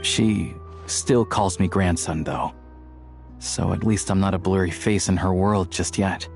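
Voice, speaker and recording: An actor playing a level-headed, earnest young man in a video game, explaining things in a measured way. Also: sits low, creaky, faint music behind. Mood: soft, reflective.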